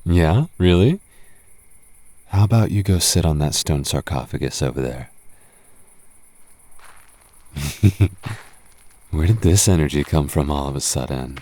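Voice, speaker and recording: Man, young adult, very low voice, boyfriend roleplay, teasing, stammers when nervous. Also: expressive, measured pace, pauses often, warm tone. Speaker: voice actor playing a goth boyfriend.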